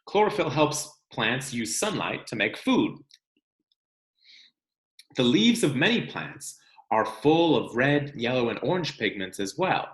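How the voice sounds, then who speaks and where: A man reading a children's science book aloud as a teacher, expressive and explanatory, speaking directly to young students. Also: medium pitch, measured pace, echoey room.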